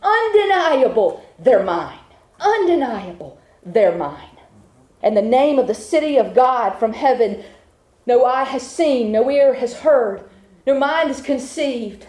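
A woman's voice: high voice, big pitch swings, slow pace, a little mellow. A woman preaching a sermon to a congregation, building her points with rhythmic repetition. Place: church with a congregation. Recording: echoey room.

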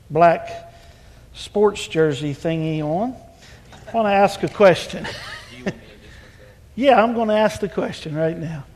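High-voiced pastor in a reverberant church. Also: male voice. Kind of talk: sermon panel hosting. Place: church sanctuary. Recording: hall reverb.

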